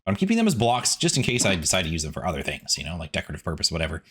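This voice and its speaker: A man's voice: deep voice, huge pitch swings, rapid-fire, a bit bright, slightly rough. A man giving casual, chatty gameplay commentary, rambling in asides to viewers as a video host.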